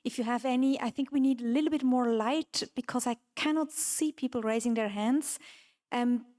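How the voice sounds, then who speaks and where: A woman moderating a public panel, a non-native English speaker, friendly and filling her pauses with "um". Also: a little high, darkish tone. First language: not English.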